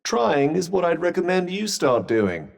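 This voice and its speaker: Posh-mannered snarky british talking cuff from a video game, lively pitch swings, big reverb. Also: male voice, unhurried, vocal fry, exaggerated voice. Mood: withering, critical. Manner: pompous, droll, formal wording. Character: haughty, sardonic talking magical cuff, refined male companion. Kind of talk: scripted video game companion dialogue.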